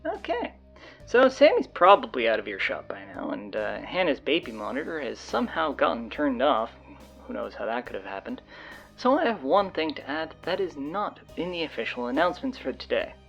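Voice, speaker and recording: An actress playing a bossy, sardonic teenage high school girl with a blunt, exasperated manner in an audio drama. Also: big pitch swings, moderate pace, creaky, dull audio, faint music behind, full character voice. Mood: sly, conspiratorial.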